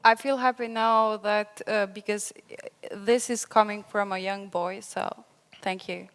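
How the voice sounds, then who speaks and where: A young woman giving an unscripted panel answer, halting as she searches for words. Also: medium pitch, fairly even intonation, slight background noise. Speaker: panel speaker. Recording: echoey hall with long reverb.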